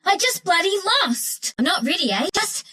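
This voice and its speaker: High, cheeky Antipodean woodland critter bandit. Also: female voice, sing-song, normal speed, sharp tone, heavily acted. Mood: exasperated. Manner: cocky, slangy, mischievous. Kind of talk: video game character voice lines.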